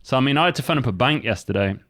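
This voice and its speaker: Male co-host, relaxed explainer, mid-pitched voice, dry studio sound. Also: racing, dry recording. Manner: easygoing, explanatory, many fillers. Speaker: podcast co-host. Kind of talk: casual chat.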